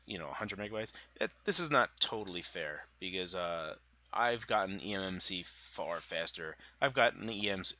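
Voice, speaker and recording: A man's voice: low voice, bright, forceful tone, no room sound. A man with a cold, sounding congested, casually explaining gadget specs over slides with many fillers and restarts. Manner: loose, filler-heavy, self-correcting. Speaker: tech channel host.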